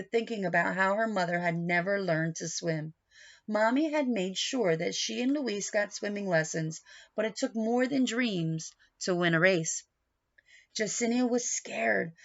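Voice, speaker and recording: Slow-paced woman reading a picture book aloud. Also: medium pitch, dull audio. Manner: instructive, questioning, addresses young listeners directly. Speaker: teacher reading aloud to young children. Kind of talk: picture book read-aloud with questions and explanations.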